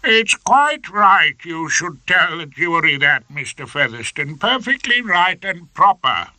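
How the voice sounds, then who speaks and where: A man voicing a pompous upper-class English judge in a story, hesitant, fussy and drawn-out. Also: a little high, big pitch swings, bright, forceful tone, dry recording, voice pushed up, exaggerated voice. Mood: pompous approval.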